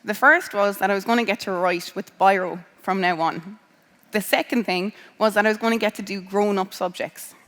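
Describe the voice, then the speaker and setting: A woman's voice: mid-range voice, fairly even intonation, moderate pace, fairly bright tone. An Irish woman in her thirties tells students about her path into science. She talks casually, with frequent ums and restarts. Her voice carries a long reverb from a large hall. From Ireland. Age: 30s. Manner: conversational, with many um fillers and restarts. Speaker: scientist giving a talk to students. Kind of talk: personal career talk.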